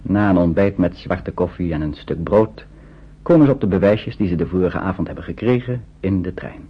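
A man narrating a scripted wartime radio drama in a measured storytelling style, with a husky voice on an old, narrow recording. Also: sits low, animated.

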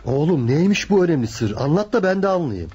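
An actor playing a puzzled, impatient middle-aged father with a very raspy voice in a muffled radio play. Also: on the high side, big pitch swings, quick pace, mellow, exaggerated voice. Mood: curious, impatient. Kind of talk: radio theatre acting. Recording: muffled radio drama recording.

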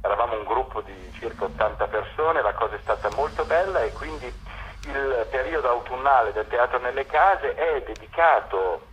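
A man interviewed on radio as a theatre director, speaking deliberately and building his thoughts in lists. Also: mid-range voice, a bit bright, a little hiss or hum, low-quality recording.

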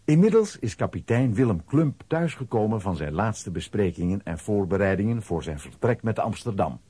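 Low, mellow male storyteller. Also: normal speed, breathy edge, no echo. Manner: expressive storytelling. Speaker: narrator. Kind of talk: scripted story narration for a children's radio play.

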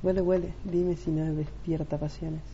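A woman's voice: low-pitched, little pitch movement, moderate pace, warm tone. A woman playing a vain, flirty man obsessed with his looks in an amateur radio play, affected and self-admiring. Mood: flirty, preening. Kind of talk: amateur Spanish-language radio play acting. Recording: muffled lo-fi home recording, faint noise.

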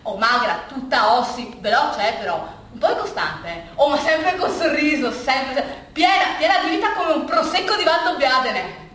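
A high-voiced woman looking back on her life in a stage monologue. She rambles in a halting, confiding, colloquial way, repeating herself as she goes. The sound is very echoey and far from the microphone, with faint noise. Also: animated, a bit bright. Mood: fond, amused. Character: rambling, bittersweet woman reminiscing about her athletic youth. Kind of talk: theatrical monologue, conversational storytelling. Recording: echoey room, distant mic, faint noise.

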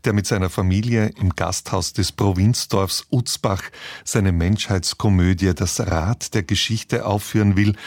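A man's voice: on the low side, darkish tone, husky, dry recording, studio quality. A man presenting on radio, reading a scripted introduction. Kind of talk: scripted narration, broadcast introduction.

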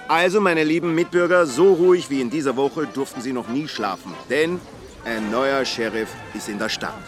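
Male actor as local amateur reporter, Austrian accent, self-important, gushing, radio drama, medium pitch. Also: moderate pace, music in the background, natural voice. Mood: pompous, proclaiming. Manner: pompous, gushing. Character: eager, self-important small-town video reporter, male.

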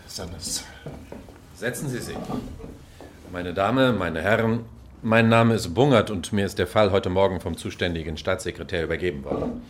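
An actor playing a formal, businesslike official taking charge in a radio crime drama. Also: male voice, low-pitched, slight background noise, close to an everyday voice. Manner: formal, measured. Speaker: senior official.

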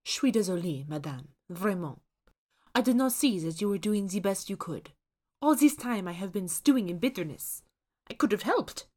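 A woman's voice: mid-range voice, lively intonation, normal speed, darkish tone, natural voice. Woman putting on a haughty French ghost chef. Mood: apologetic. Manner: haughty, indignant. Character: fussy proud male French ghost chef.

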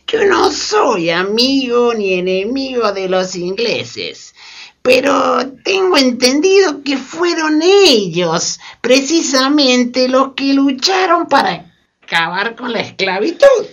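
A woman's voice: high-pitched, sing-song, slow, exaggerated voice. A woman playing a defensive, skeptical character in a community radio play, objecting politely and hesitantly. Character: defensive skeptical man who wants history forgotten.

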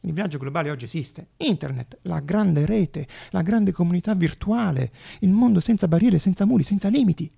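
A male stage actor tells a story in a fairly high voice that crackles with heavy fry. His delivery is theatrical, with deliberate dramatic pauses and a soft, mellow edge. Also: lively intonation.

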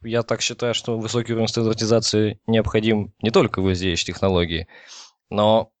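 A man's voice: on the low side, flat delivery, fairly quick, no room sound. A man explaining things in an informal technical discussion, feeling his way through and checking that listeners follow. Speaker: podcast guest explaining telecom technology.

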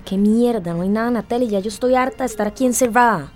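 A woman's voice: fairly high pitch, quick pace, darkish tone, soft background music, close to an everyday voice. An actress playing a bitter, restless young woman in a radio drama, with a Costa Rican accent. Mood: fed up, scornful. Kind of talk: Spanish-language radio drama acting.

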